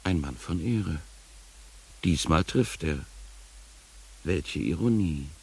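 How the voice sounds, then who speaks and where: A man playing a sardonic, world-weary narrator in a literary radio play, speaking deliberately and with dry irony. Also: low voice, animated, vocal fry, husky, exaggerated voice. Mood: scornful, ironic. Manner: deliberate, poetic, dryly ironic. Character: sardonic, world-weary first-person wanderer and guide.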